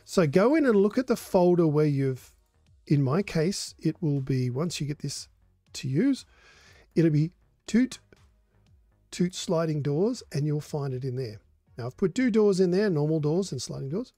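Hoarse older man with a mellow voice. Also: on the high side, measured pace, no echo, clean studio sound, soft background music. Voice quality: hoarse, coughing. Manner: relaxed, explanatory. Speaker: modding stream host. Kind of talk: live coding tutorial.

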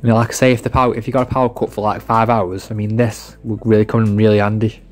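A man's voice: lowish voice, little pitch movement, fast talker, soft background music. A man giving a casual, informal product demonstration, rambling and repeating himself as he goes. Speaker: product reviewer.

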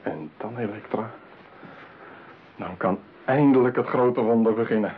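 A man's voice: lowish voice, a little slow, natural voice. An actor playing a lonely, obsessive man besotted with an artificial woman, in an intimate, theatrical radio-play monologue. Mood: eager, savouring. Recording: muffled narrowband audio with faint noise.

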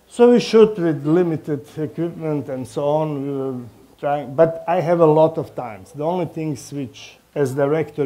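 Slow-talking older man with Croatian accent. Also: fairly high pitch, warm tone. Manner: halting, non-native English phrasing. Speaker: film director and professor speaking at a talk. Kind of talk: informal public talk with anecdotes. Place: medium-sized indoor meeting room before a small audience. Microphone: headset microphone.

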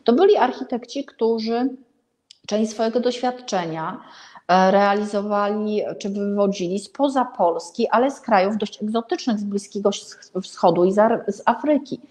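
Thoughtful, halting middle-aged woman presenter. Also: mid-range voice, a little mellow. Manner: thoughtful, halting, self-correcting. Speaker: gallery host reviewing books. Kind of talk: informal video talk, book recommendation. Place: indoor room with paintings.

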